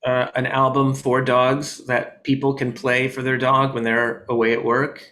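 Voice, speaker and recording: Rambling deadpan man. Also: mid-range voice, measured pace. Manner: rambling, deadpan jokes, many fillers. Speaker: comedian guest. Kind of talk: casual video-call interview chat. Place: his home in New York.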